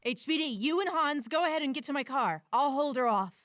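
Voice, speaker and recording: A woman's voice: high voice, expressive, brisk, a bit bright. A woman playing a sassy, blunt young cyborg sidekick in an audio drama, talking informally. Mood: defiant. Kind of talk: audio drama character acting.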